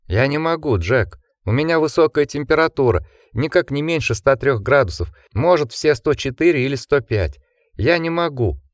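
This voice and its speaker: A man's voice: mid-range voice, animated, moderate pace, dry recording, clean studio sound, pitched above the performer's own voice, natural voice. A man voicing a feverish, frightened young man who pleads and whimpers. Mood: feverish protest.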